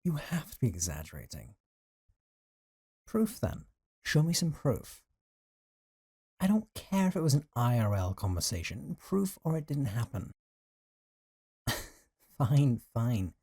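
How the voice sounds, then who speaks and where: A man's voice: mid-range voice, sing-song, measured pace, long pauses, warm tone, slightly rough, no room sound, studio quality, exaggerated voice. A man acting a possessive, lovesick young man in an intimate audio roleplay, talking in a casual, teasing, conversational way with sighs. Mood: playful teasing. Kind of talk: intimate audio roleplay drama, one side of a call.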